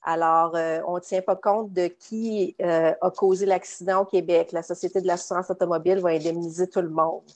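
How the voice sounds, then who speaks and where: Measured woman, lowish voice. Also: steady tone, a little mellow. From Quebec. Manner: measured, explanatory, many fillers. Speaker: guest lawyer. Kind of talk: expert explanation in a podcast interview.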